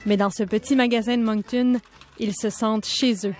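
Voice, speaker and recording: A woman reporter reading a scripted news report in a measured, even way. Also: a little high, background noise. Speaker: radio reporter.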